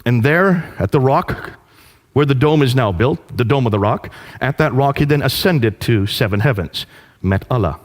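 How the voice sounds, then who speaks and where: Male lecturer, average pitch, engaging storyteller who quizzes the audience. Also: normal speed. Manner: animated storytelling with rhetorical questions to the audience.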